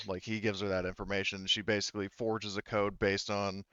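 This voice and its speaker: A man running a tabletop roleplaying game, improvising narration and explaining options in a halting, rambling way. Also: lowish voice, little pitch movement, sharp tone, dull audio, a little hiss or hum. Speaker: game master.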